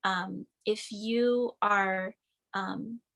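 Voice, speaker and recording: Female guest from Cameroon, medium pitch, reflective and affirming, thinking aloud about spiritual ideas. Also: fairly even intonation, very slow, a bit bright.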